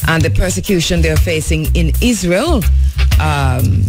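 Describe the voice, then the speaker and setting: A woman's voice: low voice, expressive, measured pace, vocal fry, music in the background. A woman hosting a talk radio show from the studio, speaking earnestly with frequent fillers and restarts. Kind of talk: talk radio commentary and interview.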